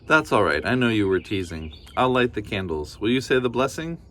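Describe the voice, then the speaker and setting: A man voicing a kindly old grandmother who fusses warmly over her guest. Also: lowish voice, big pitch swings, a little fast, a little hiss or hum. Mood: doting. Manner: doting, gently scolding.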